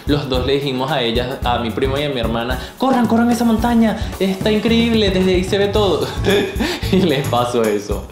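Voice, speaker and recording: A young man from Venezuela with a Venezuelan accent, chatting upbeat and talkative to camera as a vlogger, laughing often. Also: a little high. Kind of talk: casual chat to camera. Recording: roomy echo, light background music.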